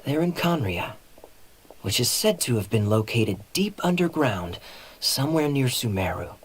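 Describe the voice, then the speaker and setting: A man playing a suave, sly young knight captain in a video game, teasing and evasive, with smooth theatrical irony. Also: medium pitch, big pitch swings, moderate pace, slight background noise, close to an everyday voice. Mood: wry, reminiscing. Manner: smooth, theatrical, playfully ironic. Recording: soft music behind the voice.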